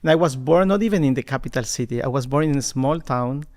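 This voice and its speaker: Man from Ecuador, mid-pitched voice, animated storyteller, repeats words when starting. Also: quick pace. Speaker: podcast guest, marketing executive. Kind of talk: conversational storytelling.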